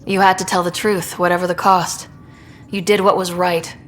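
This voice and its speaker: A woman playing a composed, supportive young space explorer in a video game, speaking in an earnest, measured way. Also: fairly low pitch, level tone, soft background music, lightly acted. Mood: earnest concern. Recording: reverberant, faint background noise.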